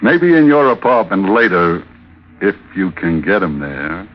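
Muffled vintage radio, very low male voice, slangy smug gangster henchman, hardboiled, insinuating. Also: big pitch swings, measured pace. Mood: sly, confident. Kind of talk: old-time radio crime acting. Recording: muffled old radio recording.

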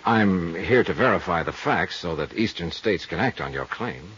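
An actor playing a wry, hard-boiled insurance investigator, narrating and talking in a clipped, dry way in a 1950s radio drama. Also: male voice, low voice, expressive, moderate pace, fairly bright tone, breathy edge, highs cut off, exaggerated voice. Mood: businesslike, guarded. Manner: clipped, terse, dry delivery. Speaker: detective narrator and lead character. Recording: vintage 1950s radio recording with music.

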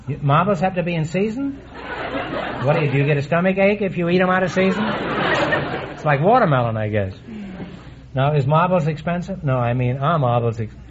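A man's voice: a little high, lively intonation, crowd noise, background noise. Wisecracking middle-aged man hosting a quiz. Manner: teasing, quick puns and asides. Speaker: quiz show host. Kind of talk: wisecracking quiz show banter. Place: studio before a live audience. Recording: dull old radio broadcast, audience behind.

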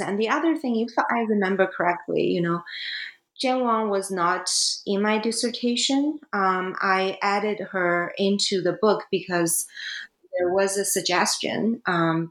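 A woman's voice: on the low side, roomy sound, rough recording. A woman whose first language is not English, talking about writing her book as a guest author, hesitant with many fillers and restarts. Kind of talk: academic interview.

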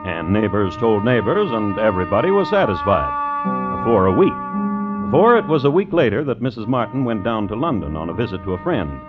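Low-voiced old-radio storytelling narrator. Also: male voice, very animated, moderate pace. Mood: wry storytelling. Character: wry, measured storytelling narrator. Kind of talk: scripted radio drama narration. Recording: narrow, tinny vintage radio recording. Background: prominent dramatic music.

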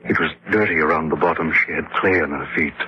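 An actor playing a worried husband who explains away trouble as he narrates his horror tale in a raspy voice, radio drama style. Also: rumbling low, fairly even intonation, fast talker, a little hiss or hum. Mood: grave, confiding. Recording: muffled, lo-fi radio drama recording.

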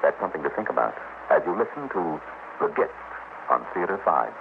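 A man's voice: rumbling low, big pitch swings, a little fast, creaky, narrow, tinny audio. A male announcer introducing an old radio drama in solemn, dramatic scripted narration. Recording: noisy, phone-thin old radio recording.

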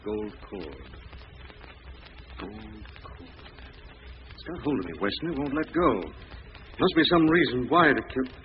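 An actor playing a brooding, deliberate amateur detective piecing clues together in an old-time radio drama. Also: male voice, mid-range voice, animated, natural voice. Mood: brooding realization. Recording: thin, phone-like, noisy vintage radio recording.

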